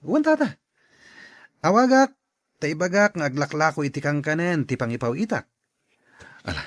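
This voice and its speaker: A man's voice: on the high side, animated, moderate pace, no echo, clean studio sound. A man playing a jovial, scheming drug dealer who talks people round in an audio drama. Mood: coaxing. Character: scheming, jovial male drug dealer luring poor neighbours.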